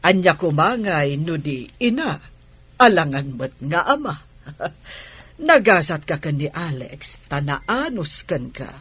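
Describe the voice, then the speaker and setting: A middle-aged Filipino village aunt in a radio drama, nosy and doting. Her voice is husky and swings widely in pitch, with an unhurried, teasing delivery full of exclamations. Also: sing-song, a bit bright, exaggerated voice. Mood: knowing, chiding. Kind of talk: Ilocano radio comedy drama acting.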